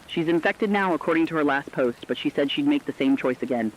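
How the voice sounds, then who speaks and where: A man's voice: a little high, expressive, fairly quick, a little hiss or hum, natural voice, low-quality recording. A man playing a concerned, compassionate radio co-host, giving scripted commentary in an earnest, emotive way. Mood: somber concern.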